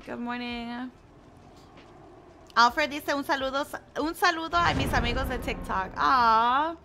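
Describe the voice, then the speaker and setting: Chatty young woman streamer, bright tone. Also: high voice, slight background noise. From United States. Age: 20s. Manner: chatty, laughs often, reads chat aloud. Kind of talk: casual chat with stream viewers while gaming.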